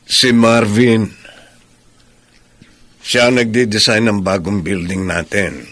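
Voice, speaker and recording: An actor with a Filipino accent playing a kindly middle-aged boss in a radio drama, relaxed and friendly. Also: male voice, lowish voice. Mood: calm, confiding. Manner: unhurried, friendly, mixes English into Tagalog. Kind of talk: Tagalog radio drama acting.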